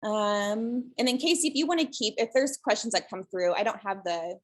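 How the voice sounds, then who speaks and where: Calm, soft-spoken woman presenting on a call. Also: medium pitch, moderate pace. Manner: relaxed, many ums, soft and calm. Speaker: guest presenter, shelter social media creator. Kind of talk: webinar presentation. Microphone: video call.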